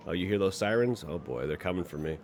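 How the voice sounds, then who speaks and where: A man with a low voice hosts a casual livestream. He banters crudely and swears freely as he talks to co-hosts and viewers, with faint noise behind him. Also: expressive, fast talker, a little mellow. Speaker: livestream host.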